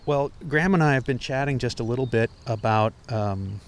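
A man's voice: mid-range voice, brisk. Man, interview host, eager, restarts his questions, faint hiss. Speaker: podcast host. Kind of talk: conversational interview.

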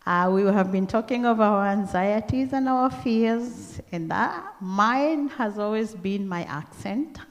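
A middle-aged woman with a Kenyan accent, preaching a church sermon in a slow, deliberate, earnest way. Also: mid-range voice, lively intonation. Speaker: guest preacher. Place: large church hall. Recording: long church-hall reverb.

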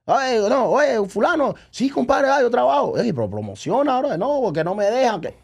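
A man's voice: very high voice, very animated, a little fast, vocal fry, slightly rough. A man chatting casually as a podcast guest and event promoter, telling stories by acting out remembered dialogue and checking that the listener follows. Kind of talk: casual chat, animated storytelling.

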